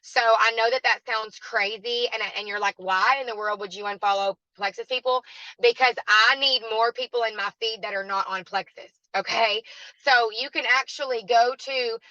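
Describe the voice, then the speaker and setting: A woman with a raspy, hoarse voice that still sounds bright and forceful, pitched a little high. She speaks in a chatty, encouraging way as a guest speaker. The recording is dry and slightly dull. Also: fairly even intonation, moderate pace, no echo. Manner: chatty and encouraging, addresses listeners as "y'all". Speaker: guest speaker at a team training. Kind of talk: motivational training talk.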